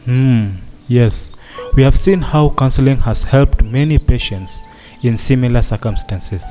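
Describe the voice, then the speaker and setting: A man playing a patient, concerned hospital doctor in a radio drama, speaking in a measured, reassuring way. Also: mid-range voice, mellow, faint music behind, lightly acted.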